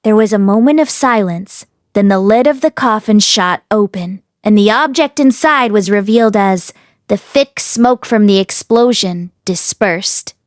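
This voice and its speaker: A woman's voice: mid-range voice, measured pace, no room sound, clean studio sound. A woman reading a story aloud expressively, as an audiobook narrator. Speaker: audiobook reader.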